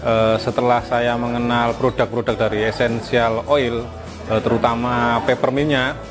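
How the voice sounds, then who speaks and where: A man's voice: mid-range voice, flat delivery, normal speed. A man from Malang giving a hesitant testimonial as a self-employed customer. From Malang, East Java, Indonesia. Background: background music.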